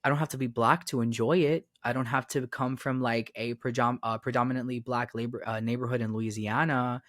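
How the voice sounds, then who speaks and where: A man's voice: mid-range voice, fairly even intonation, a little fast, fairly bright tone, no room sound, studio quality. A young man raised in Miami, a podcast guest talking casually and rambling with many fillers and repeated phrases. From Florida, raised in Miami. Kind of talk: casual conversation.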